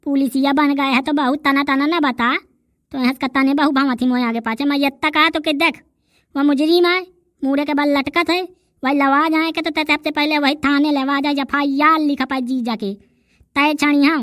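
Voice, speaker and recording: A woman's voice: sits high, a bit bright, dry recording, studio quality. A woman voicing a sassy, wordy, bickering rustic character in a village comedy cartoon, with a Bundelkhandi accent. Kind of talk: dialect comedy cartoon dialogue.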